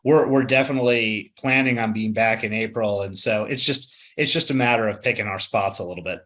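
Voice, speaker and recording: Emphatic male executive with a bright voice. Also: on the low side, a little fast. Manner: emphatic, repeats words, says "right?". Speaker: company executive guest. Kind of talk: conversational interview answers.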